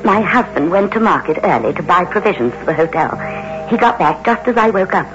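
A woman's voice: on the low side, expressive, brisk, over music, close to an everyday voice. A woman acting an anxious, haunted innkeeper's wife in a dramatic, fretful, old radio drama style. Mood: brooding, haunted. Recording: noisy, muffled old radio recording.

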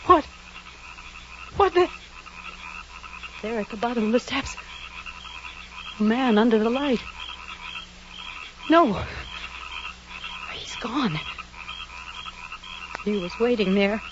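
A woman's voice: fairly high pitch, expressive, takes it slowly, pauses often, dull audio. Frightened woman on noisy old radio. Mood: anxious, frightened. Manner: breathless, melodramatic, talking anxiously to herself. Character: terrified young woman walking home alone at night. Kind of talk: 1940s radio drama acting.

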